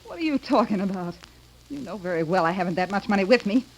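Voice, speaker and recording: Woman playing haughty young wife, demanding, sharp-tongued, melodramatic 1930s radio style, faint crackle. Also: mid-range voice, lively intonation, fast talker, close to an everyday voice. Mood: impatient, uneasy. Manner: imperious, indignant, demanding.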